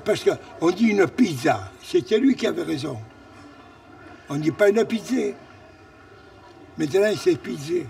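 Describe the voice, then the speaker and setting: High, gravelly man with a Marseille accent. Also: a little mellow, distant mic. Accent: Marseille French. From Marseille, France. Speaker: restaurant owner. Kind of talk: casual interview.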